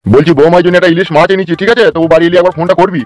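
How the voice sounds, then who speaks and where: A man's voice: sits high, steady tone, rapid-fire, no echo, faint music behind, close to an everyday voice. A man voicing a genial, fussy middle-aged village father in a comedy cartoon, chatty and insistent.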